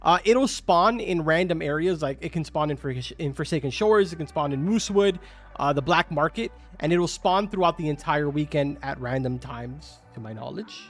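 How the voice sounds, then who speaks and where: Young male streamer, Spanish as his first language, slightly high voice, loose and chatty, soft music underneath. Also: normal speed. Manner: chatty, filler-heavy, answers chat questions. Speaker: game streamer. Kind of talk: casual live-stream chat with viewers. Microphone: studio microphone. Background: faint game music.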